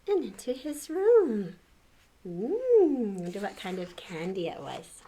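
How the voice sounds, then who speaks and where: An older woman reading a children's picture book aloud, pausing to comment on the pictures and ask young listeners questions. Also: medium pitch, slight background noise. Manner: exclaims and chats about the pictures, asks questions. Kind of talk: expressive read-aloud storytelling with asides to young listeners.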